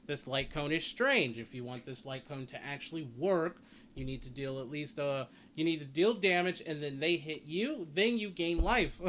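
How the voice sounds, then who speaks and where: A man with a fairly high-pitched voice talks through a video game guide in a casual way. He rambles, restating points and rewording as he goes. There is some noise behind his voice. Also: fairly bright tone. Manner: rambling, repeats and rephrases himself. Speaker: gaming video creator. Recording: noticeable background noise.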